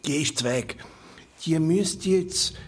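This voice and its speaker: A man's voice: on the high side, slow. Earnest conspiratorial older Swiss man. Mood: matter-of-fact. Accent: Swiss German. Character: Heinrich, conspiratorial older male helper, earnest and urgent. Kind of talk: children's radio play acting. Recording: music behind the voice.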